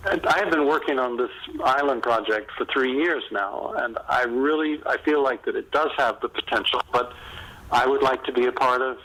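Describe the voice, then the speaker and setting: A man speaking conversationally as an author being interviewed, in a halting, reflective way with thoughts often restarted mid-sentence. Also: mid-range voice, bright, forceful tone, a little hiss or hum, poor audio. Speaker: novelist and screenwriter being interviewed.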